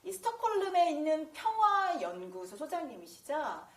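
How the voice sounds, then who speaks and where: A woman with a Korean accent, a native Korean speaker, hosting a chatty interview in an animated way. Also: high-pitched, racing. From South Korea. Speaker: host, interviewer. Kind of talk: chatty talk-show interviewing. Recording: roomy echo with noticeable background noise.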